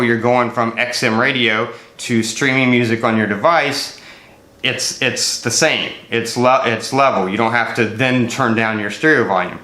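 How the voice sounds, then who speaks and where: A man in his forties giving a casual, unscripted product comparison as a reviewer, explaining conversationally and haltingly, with repeated words. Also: mid-range voice, pushed and bright. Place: medium furnished room indoors. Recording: roomy indoor echo.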